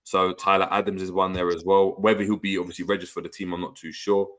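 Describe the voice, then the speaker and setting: A man's voice: deep voice, flat delivery, fast talker, a bit bright. A young man, hosting a casual football chat and reading out viewer comments, slangy and prone to repeating words. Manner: laid-back, slangy, stumbles and repeats words. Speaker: podcast host.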